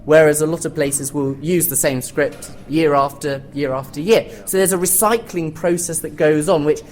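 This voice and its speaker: A British woman with a very low-pitched voice talks on a live panel. She explains and argues her points articulately but informally. There is faint noise behind her in the theatre. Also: normal speed, darkish tone. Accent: British English.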